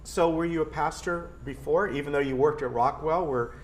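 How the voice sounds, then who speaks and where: A man's voice: fairly high pitch, normal speed, slight background noise, low-quality recording. A man interviewing guests on a community TV show, friendly and encouraging. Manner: friendly, encouraging with short backchannels.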